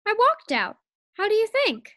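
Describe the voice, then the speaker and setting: A woman's voice: very high-pitched, very animated, moderate pace, a bit bright, dry recording, clean studio sound, full character voice. A woman playing a sassy, playful, easily exasperated wife in an old-time radio sitcom style. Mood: exasperated, sarcastic.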